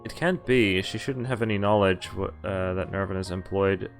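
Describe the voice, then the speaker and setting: A man streaming a visual novel, reading it aloud and commenting casually in a halting way with offhand swearing. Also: deep voice, gravelly, soft background music. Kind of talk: casual game walkthrough with read-aloud narration.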